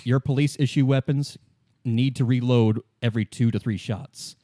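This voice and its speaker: A man speaks as game master in a tabletop roleplay session. His mid-pitched voice explains things in measured, deliberate phrases. The sound is dry, with no room to it. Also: steady tone, mellow, no echo.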